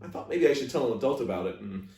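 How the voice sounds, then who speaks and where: A man's voice: mid-range voice, lively intonation, racing, dark tone, slight background noise. A man reading aloud as a library storyteller, in a storybook narration style. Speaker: library reader. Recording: echoey room, distant microphone.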